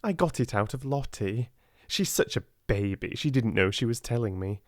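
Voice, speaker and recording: A man's voice: mid-range voice, lively intonation, normal speed, no echo, clean studio sound, natural voice. A man with a British accent voicing a priggish, spiteful schoolgirl in an audiobook, snappish and self-righteous.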